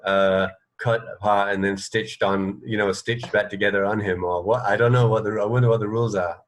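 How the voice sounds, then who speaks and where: A man co-hosting a casual radio chat, explaining things in a halting, rambling way with repeated phrases. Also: on the low side, steady tone. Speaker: radio co-host.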